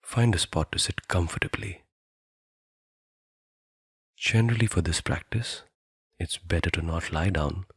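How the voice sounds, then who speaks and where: A man guiding a meditation in a soothing, deliberate manner, leaving long pauses between phrases. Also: deep voice, breathy edge, no echo, clean studio sound. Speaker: meditation guide. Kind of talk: guided meditation narration.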